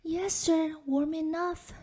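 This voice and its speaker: A woman's voice: extremely high pitch, fairly even intonation, takes it slowly, dark tone, pitched above the performer's own voice. A woman voicing a polite, brave young girl from a folktale, meek and kind.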